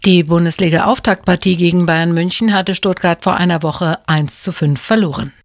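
A woman presenting the radio news, reading in a crisp, even delivery. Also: fairly low pitch, normal speed, a little hiss or hum.

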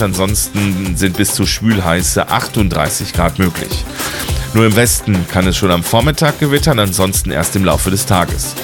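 A man reading radio news and the weather forecast in a formal, clear, even manner. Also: lowish voice. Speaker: newsreader.

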